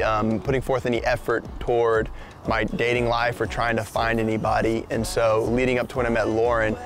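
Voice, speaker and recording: A young adult man casually telling his own love story in a personal, conversational style. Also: mid-range voice.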